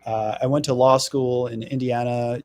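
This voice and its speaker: A middle-aged man from New Jersey, a political candidate talking in a radio interview, earnest and halting with frequent fillers. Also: medium pitch, little pitch movement, a little mellow, dry recording.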